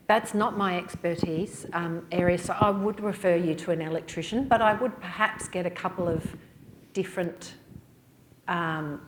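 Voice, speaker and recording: Australian woman on a panel, practical and direct, lower voice, roomy hall sound. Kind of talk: panel answers. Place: community hall. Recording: echoey hall with faint noise.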